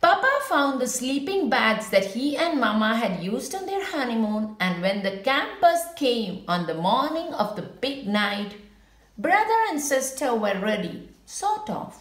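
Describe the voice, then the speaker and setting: A woman reading a children's picture book aloud in an expressive storytelling style, with short asides to young listeners. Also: on the high side, a little slow, reverberant.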